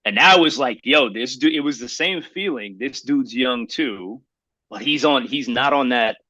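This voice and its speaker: Male podcast co-host, thirties. Sharp, forceful tone, slangy emphatic storyteller, close dry recording. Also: mid-range voice, expressive, a little slow, no room sound, dull audio. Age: 30s. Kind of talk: casual podcast chat about hip hop.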